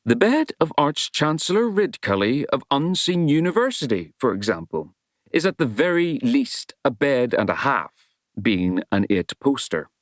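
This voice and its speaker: A man reading a novel as an audiobook narrator, in a wry storytelling style with measured, dramatic emphasis. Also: medium pitch, sing-song, dry recording, studio quality.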